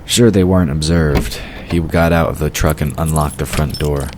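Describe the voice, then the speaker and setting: Fantasy audio drama narrator: male, bass-deep voice, scripted storytelling, noticeable ambient noise underneath. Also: steady tone, moderate pace. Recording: clearly noisy background ambience. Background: outdoor ambience sound effects.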